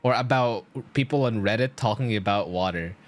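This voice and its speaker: A man's voice: on the low side, moderate pace. A man giving casual, rambling live commentary as a game streamer in informal, slangy speech.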